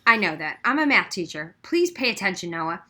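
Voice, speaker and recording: Woman playing a stern, bright-voiced math teacher. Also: lowish voice, very animated, a little fast, exaggerated voice. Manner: firm, no-nonsense. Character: stern, sceptical female math teacher questioning a pupil.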